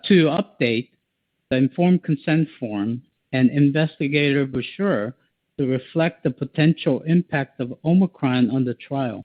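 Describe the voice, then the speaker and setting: A man reading a formal, scripted corporate statement as an executive on an earnings call, in a measured way over a phone line. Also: medium pitch, no echo. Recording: tinny phone-like conference call.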